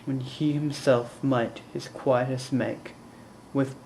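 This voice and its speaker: A young man playing an anguished, brooding prince in mourning in a slow theatrical Shakespearean monologue, with deliberate, dramatic pauses. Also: medium pitch, fairly even intonation, creaky, darkish tone. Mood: weary brooding. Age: young adult. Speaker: student actor performing monologues. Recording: roomy echo, distant mic, faint noise.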